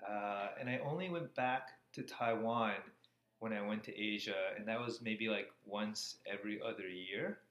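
A man's voice: on the low side, normal speed, a bit bright, highs cut off. An American man in his thirties, answering interview questions in a casual way. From Florida, USA. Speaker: interview guest, cardiology fellow. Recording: echoey room, faint background noise.

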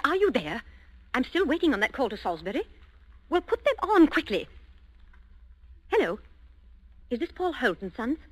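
An actress playing a bossy, domineering wife in a 1940s radio thriller, giving clipped, imperious orders. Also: female voice, on the high side, no room sound. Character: bossy, brisk, domineering wife who manages everything. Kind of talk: 1940s radio suspense acting.

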